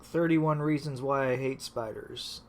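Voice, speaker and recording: A man's voice: medium pitch, steady tone, unhurried, slight background noise. A man hosting a casual live stream, rambling and restarting with lots of fillers, just back from being sick. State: recovering from illness. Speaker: host.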